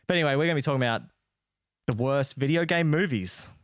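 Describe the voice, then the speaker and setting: A man's voice: mid-range voice, lively intonation, fast talker, clean tone, no room sound. Laid-back australian man, dry sound. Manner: laid-back, slangy, says man and dude often. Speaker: podcast co-host. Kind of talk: casual chat.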